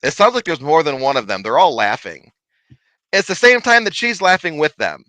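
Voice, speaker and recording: Guy with a big voice telling a spooky story, high and bright, bouncy pitch, dry sound. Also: normal speed, no echo. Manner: animated, laughing, big voice. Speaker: paranormal investigator guest. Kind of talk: casual storytelling chat.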